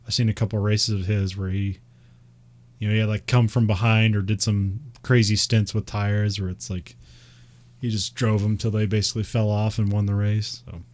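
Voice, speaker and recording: A man's voice: lowish voice, level tone, moderate pace, darkish tone. A man with a Midwestern American accent, chatting casually and rambling, with frequent laughs and fillers. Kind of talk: casual chat about racing.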